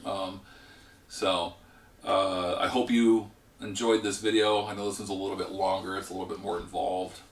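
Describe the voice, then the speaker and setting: A man hosting a gaming channel, explaining a card game deck in a rambling, relaxed way with a congested voice that is giving out. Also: low voice, moderate pace, roomy sound, recorded from a distance.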